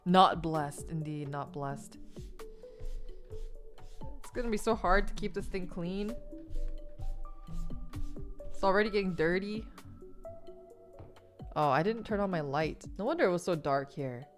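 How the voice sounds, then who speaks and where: A woman's voice: fairly low pitch, a little slow, pauses often, soft background music. A woman streamer chatting casually from her home gaming room, rambling and giggling, with lots of ums while she lists her things. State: stuffed up after a missed sneeze. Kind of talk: casual stream chat while building a model kit.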